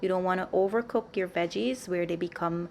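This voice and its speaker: A woman with a fairly low voice and some creak in it narrates cooking instructions. She explains things step by step in an orderly way, as the host of a home cooking channel. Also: brisk, a little mellow, slight background noise.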